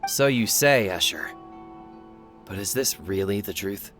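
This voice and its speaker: Forge master, game drama: somewhat low, grave, weary and earnest, holding back distrust. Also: male voice, expressive, fairly bright tone, soft background music. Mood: grave, accusing. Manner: grave, deliberate, restrained accusation. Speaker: video game voice actor. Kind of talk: scripted game dialogue.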